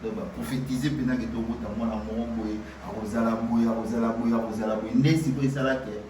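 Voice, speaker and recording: A man's voice: mid-range voice, steady tone. Guy, lots of ums, mid voice, echoey room, background noise. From Kinshasa, Congo. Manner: hesitant, halting, many fillers. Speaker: guest musician. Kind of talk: casual interview talk. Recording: distant echoey noisy remote line.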